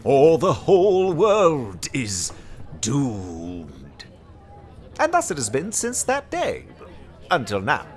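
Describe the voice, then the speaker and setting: A man's voice: medium pitch, huge pitch swings, slow pace. A man acting a gloomy, pompous horror writer with florid, archaic, theatrical delivery in a comic web drama. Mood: ominous.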